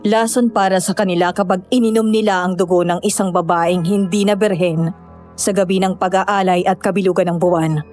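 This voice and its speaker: A woman's voice: lowish voice, steady tone, fairly quick, a little mellow, soft background music, natural voice. A woman playing a knowing, solemn villager who explains and cautions in a horror audio drama. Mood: grave.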